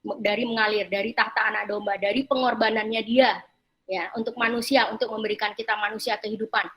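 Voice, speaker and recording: A woman pastor preaching a sermon, haltingly repeating and rephrasing her phrases as she explains scripture. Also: fairly high pitch, steady tone, fairly bright tone. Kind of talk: sermon with slides and scripture reading.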